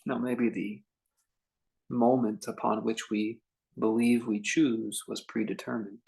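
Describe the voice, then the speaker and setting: A man leading a guided meditation as a teacher, speaking in a contemplative, unhurried way with long pauses between short phrases. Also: lowish voice, little pitch movement, gravelly, darkish tone, low-quality recording.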